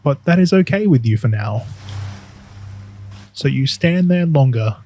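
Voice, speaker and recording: A man's voice: mid-range voice, lively intonation, a little slow, mellow. A man narrating a scripted audio drama in the second person, telling the listener what they do and feel. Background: music.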